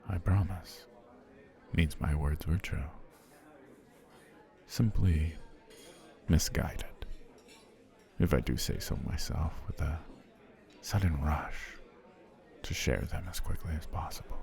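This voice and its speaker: A man voicing a formal, flattering male potion seller on a dinner date in an ASMR roleplay, slipping into soft whispers. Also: a bass voice, creaky, heavily acted. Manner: formal, courtly, flattering speech.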